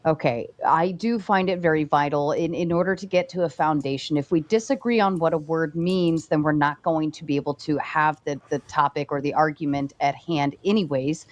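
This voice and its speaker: Low-voiced polite woman moderator. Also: normal speed, hardly any pauses, lo-fi sound. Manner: polite, asks for calm. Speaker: debate moderator. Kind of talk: moderating a debate.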